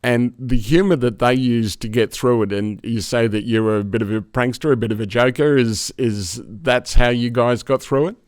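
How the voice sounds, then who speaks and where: A man's voice: mid-range voice, moderate pace, hardly any pauses, a little mellow, smooth, no room sound, clean studio sound. A man hosting a podcast, asking a guest conversational, curious questions. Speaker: podcast host. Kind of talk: interview questions.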